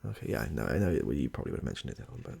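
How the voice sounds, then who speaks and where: A man acts out an affable clinician in an ASMR roleplay, very breathy and husky with heavy vocal fry. He is soft-spoken and rambles hesitantly through his questions, reassuring as he goes. His delivery swings lively up and down. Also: extremely low pitch, very fast, exaggerated voice. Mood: attentive, mildly flustered. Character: chatty, affable male medical intake clinician with a questionnaire.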